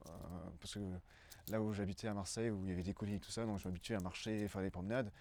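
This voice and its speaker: A man's voice: on the low side, steady tone, rapid-fire, darkish tone, dry recording. A man in his twenties from Brussels giving a casual interview testimony, hesitant and often searching for words. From Brussels, Belgium.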